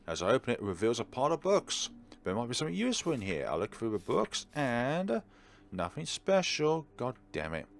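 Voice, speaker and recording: A man narrating a visual novel playthrough aloud in a dramatic, expressive way, mixing read lines with his own asides. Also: fairly low pitch, vocal fry. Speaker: let's player reading a visual novel aloud.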